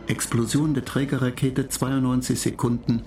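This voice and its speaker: An actor in a German radio play voicing a cool, superior computer mind, reciting in a detached, measured way. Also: male voice, medium pitch, level tone, lightly acted. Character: cool, superior computer mind lecturing human space travellers. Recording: music behind the voice.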